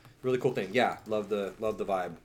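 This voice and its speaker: A man's voice: fairly low pitch, a little fast, a little mellow, a little hiss or hum. A man talking casually as a guest on a talk show, slangy and emphatic. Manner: slangy, emphatic, mild swearing. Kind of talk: casual chat.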